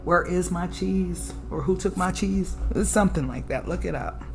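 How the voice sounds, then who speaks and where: A woman with a raspy alto voice giving a casual, preachy spiritual tarot reading, often checking in with "okay?" and "right?". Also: moderate pace, soft background music. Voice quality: low alto voice. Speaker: tarot reader.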